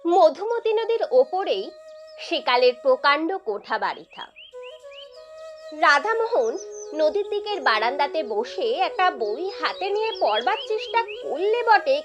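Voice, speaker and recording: A woman reading a literary short story as scripted audiobook narration. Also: sits high. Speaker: storyteller reading for own channel. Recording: music behind the voice. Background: background music.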